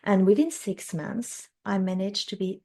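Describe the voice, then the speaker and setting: A woman in her thirties from France with a French accent, a guest telling her own health story in an earnest, measured way. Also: medium pitch, dark tone. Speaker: guest, women's health specialist. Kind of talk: interview, personal storytelling.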